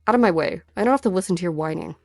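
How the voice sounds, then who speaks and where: A woman's voice: lowish voice, racing, gravelly, darkish tone, dry recording. A woman in a fan dub of a game, playing an earnest, defiant young mercenary with an intense, insistent delivery. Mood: tense. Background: music.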